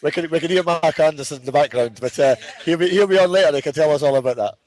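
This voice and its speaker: A man's voice: a little high, fast, darkish tone, low-quality recording. A man hosting a live broadcast with casual commentary, often repeating and restarting his phrases.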